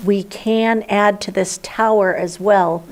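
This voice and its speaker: A woman gives an informal report at a county board meeting as one of its supervisors. Her voice has a medium pitch and very little rise or fall. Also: fairly even intonation, slow pace.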